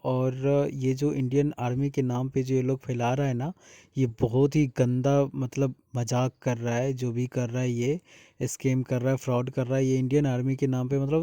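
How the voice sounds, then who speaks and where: A man's voice: medium pitch, dark tone, no echo, studio quality. An Indian man explaining informally to his viewers, speaking earnestly and repeating his points as a vlogger. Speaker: vlogger exposing a scam.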